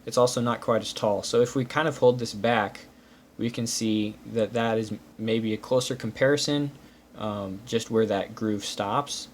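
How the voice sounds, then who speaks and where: Low-voiced man over clear background noise. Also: fairly even intonation, normal speed. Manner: methodical, explanatory. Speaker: host reviewing building-brick pieces. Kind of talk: casual hands-on product walkthrough.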